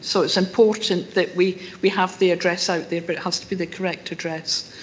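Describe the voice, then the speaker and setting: A woman speaks to a council meeting with a rough voice. She makes her case earnestly and answers questions from members. A faint hiss sits under her voice. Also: fairly low pitch, level tone, a little fast. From incomer to the town. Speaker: member of the public addressing council. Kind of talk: formal address to a council meeting.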